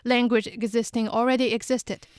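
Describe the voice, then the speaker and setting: Woman, clear smooth voice, casual explanatory quiz host, close dry studio sound. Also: a little high, little pitch movement, moderate pace, no echo. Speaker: quiz host on a talk show, drama fact checker.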